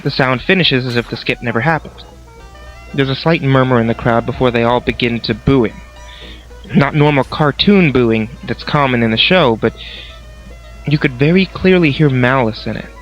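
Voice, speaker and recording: A man reading a scripted horror story as its narrator. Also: mid-range voice. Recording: dull, lo-fi voice recording. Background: music.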